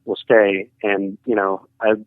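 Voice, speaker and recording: A man tells his story down a telephone line. He talks in a halting, rambling way and hedges often. His voice sits a little low and sounds dry and tinny. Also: little pitch movement, dry recording. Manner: halting, rambling, full of hedging fillers. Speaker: caller sharing a ghost story. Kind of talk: casual storytelling.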